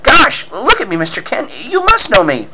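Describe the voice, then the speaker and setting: A man's voice: extremely high pitch, wildly swooping pitch, normal speed, pushed and bright, slight background noise, pitched above the performer's own voice, exaggerated voice, rough recording. A man voicing an earnest, pleading boy cub reporter in the manner of a 1940s radio serial. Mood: urgent pleading. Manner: gushing, pleading exclamations.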